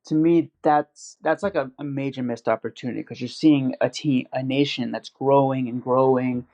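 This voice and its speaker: A man's voice: mid-range voice, fairly even intonation, normal speed, warm tone. A man in casual podcast chat, rambling with lots of fillers and restarts. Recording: dull lo-fi audio.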